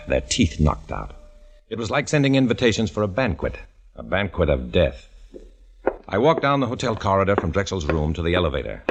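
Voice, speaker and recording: An actor narrating as a tense undercover man posing as a communist, in hard-boiled 1950s radio drama style, on an old broadcast with music behind. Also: low-pitched. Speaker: narrator and lead.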